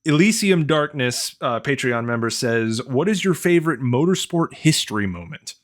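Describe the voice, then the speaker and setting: Laid-back podcast host with lively pitch. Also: male voice, moderate pace. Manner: laid-back, reads listener questions, laughs often. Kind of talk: casual chat.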